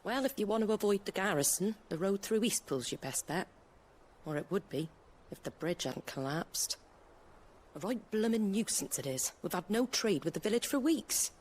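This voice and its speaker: A woman's voice: medium pitch, expressive, normal speed, darkish tone, a little hiss or hum, lightly acted. A woman playing a wary, plain-spoken landlady in a fantasy game, with a working-class regional English accent and a blunt, folksy manner. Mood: matter-of-fact, worried. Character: wary, plain-spoken innkeeper landlady, no-nonsense but helpful. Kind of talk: dramatic video game cutscene acting.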